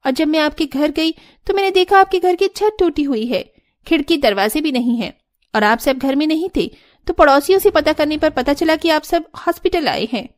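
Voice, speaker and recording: A woman's voice: high voice, normal speed, natural voice. A woman voicing a wealthy, hot-tempered but kind lady employer in a cartoon story, earnest and apologetic.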